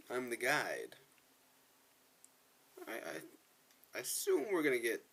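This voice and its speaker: Bright, animated male gaming commentator. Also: mid-range voice, gravelly. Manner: rambling, self-correcting, chatty asides to viewers. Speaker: gaming video host. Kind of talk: casual gameplay commentary.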